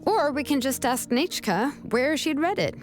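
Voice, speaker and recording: Young woman game character, sure of herself, probing, expressive and a bit high. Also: faint music behind. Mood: probing, firm. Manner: measured, insistent questioning.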